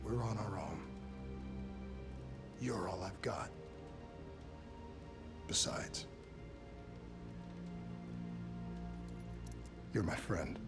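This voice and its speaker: An actor playing a determined, loyal soldier in a dramatic military video game, intense under pressure. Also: male voice, low-pitched, slow, over music. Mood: tense pleading.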